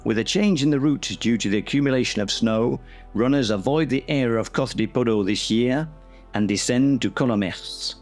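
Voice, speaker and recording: A man giving measured, scripted documentary narration about a mountain race. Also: mid-range voice. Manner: measured, informative. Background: music.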